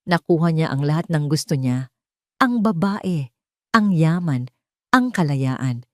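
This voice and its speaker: A Filipino woman reads a dramatic audio story in a fairly low voice. The recording is dry and close, with hardly any room sound around her narration. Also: animated, slow, darkish tone, no echo.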